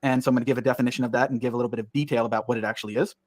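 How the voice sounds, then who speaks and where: A man with a mid-pitched voice gives a prepared lecture, walking through each point in order. His delivery is steady and explanatory. The video plays back through a stream with dry, close sound. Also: rapid-fire, dry recording. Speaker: online lecturer.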